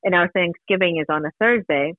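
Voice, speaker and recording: A woman's voice: on the low side, brisk, no room sound. A woman from Iran speaking earnestly and emphatically as an interview guest over a telephone-like line. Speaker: interview guest, human rights advocate. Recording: thin telephone-like radio line.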